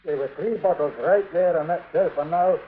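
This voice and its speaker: Male actor as indignant storekeeper, radio western, somewhat high voice, fussy, exacting, tinny old recording. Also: a little fast, a little hiss or hum, natural voice. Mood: fretful, puzzled.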